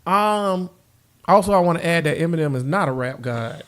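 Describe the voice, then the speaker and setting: A man's voice: a little high, animated, moderate pace, fairly bright tone, a little hiss or hum. A man hosting a podcast in casual, slangy, profane banter, brash and teasing. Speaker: podcast host.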